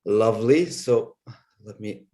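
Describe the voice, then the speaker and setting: A man from Russia presenting his startup in a rehearsed, upbeat pitch over a video call. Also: takes it slowly, mellow.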